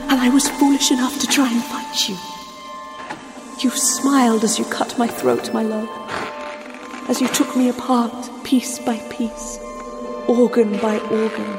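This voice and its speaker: An actress playing a murdered wife returned from the dead, reproachful and mournful, speaking slowly with tender menace in a horror audio drama. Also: female voice, on the high side, close to an everyday voice. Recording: long hall reverb under music.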